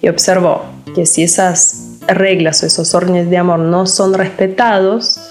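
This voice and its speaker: A woman from Lithuania with a Lithuanian accent, a psychologist speaking in an interview, halting and searching for words with frequent restarts. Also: fairly low pitch, steady tone, soft background music. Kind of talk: casual interview talk.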